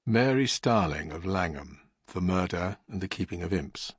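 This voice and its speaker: A man with a deep voice narrates an audiobook gravely and formally. His tone is husky and the delivery deliberate, in a dry, close recording. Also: animated, no room sound.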